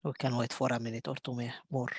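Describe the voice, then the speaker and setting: Husky-voiced man from Egypt. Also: medium pitch, level tone, no echo. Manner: brief backchannels, halting restarts. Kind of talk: casual chat.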